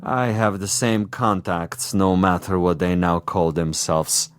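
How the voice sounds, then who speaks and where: Slow, deep, scheming commissar. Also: male voice, clean tone, soft background music. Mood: gloating, musing. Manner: suave, precise, condescending, imperious. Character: cunning, theatrical commissar, a patient, gloating assassin. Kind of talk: radio drama acting.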